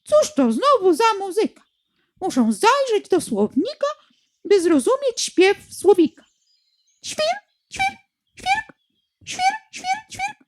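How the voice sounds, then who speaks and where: A fussy little sparrow scolds in a high, chattering chirp, as a woman acts it out. His voice hops up and down and breaks into sharp tweets. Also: sing-song, measured pace, exaggerated voice. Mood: scolding.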